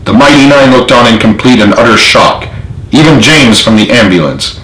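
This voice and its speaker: A man's voice: medium pitch, expressive, moderate pace, fairly bright tone. A man reading his own story as scripted narration, in a roomy recording. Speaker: author narrating own book.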